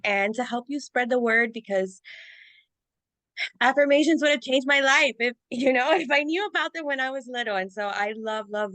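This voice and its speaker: Woman, podcast host, Spanish first language, higher pitch, effusive, dry recording. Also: no echo. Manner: warm and effusive, frequent laughs and fillers. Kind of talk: conversational podcast interview.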